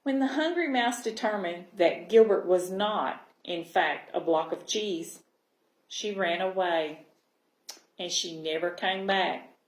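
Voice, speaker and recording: A woman reading a picture book aloud to young children as a library storyteller, in an expressive manner. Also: lowish voice. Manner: expressive, pausing to check understanding with listeners. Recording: roomy, echoing sound.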